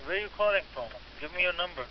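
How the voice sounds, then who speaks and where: A male store worker speaks quickly on a phone call, with non-native grammar and a hesitant, resistant manner. His pitch is average, and the line is dull with clear hiss. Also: animated. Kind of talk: phone conversation.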